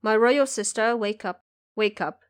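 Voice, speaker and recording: A woman voicing a grave, composed male emperor, measured and regal, in an audio drama. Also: a little high, clear, resonant tone, no echo, lightly acted. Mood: soothing, concerned.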